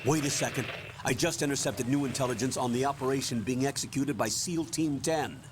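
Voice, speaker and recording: Casting: male actor, stern military mission briefer for a video game, medium pitch. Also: fairly quick, noisy background, close to an everyday voice. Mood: stern, instructive.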